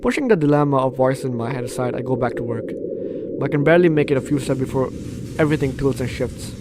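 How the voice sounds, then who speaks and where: A man's voice: mid-range voice, expressive, fast, very noisy. A man doing playthrough commentary, reading game text dramatically and breaking off into reactive asides. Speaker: gaming YouTuber. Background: game music.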